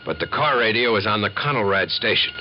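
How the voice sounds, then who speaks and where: An actor playing a grave storyteller, narrating in a terse, dramatic 1950s radio documentary style. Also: male voice, lowish voice, expressive, fairly quick, lightly acted. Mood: grave, tense. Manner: terse, dramatic, present-tense telling. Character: grave male storyteller of a nuclear attack scenario. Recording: dull old radio recording, loud music.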